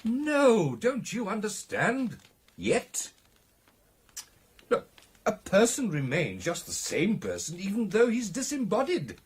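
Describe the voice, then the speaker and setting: An earnest, genial British club gentleman in a radio play. His husky voice sits a little high and swings widely in pitch. The phrasing is clubby and old-fashioned, suited to telling a tale. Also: wildly swooping pitch, takes it slowly, exaggerated voice. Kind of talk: radio drama acting.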